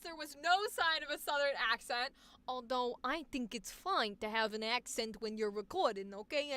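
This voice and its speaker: Bright, bubbly woman streamer. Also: sits high. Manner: effusive, exclamatory, quick asides. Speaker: streamer and voice acting coach. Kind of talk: live stream commentary on student recordings.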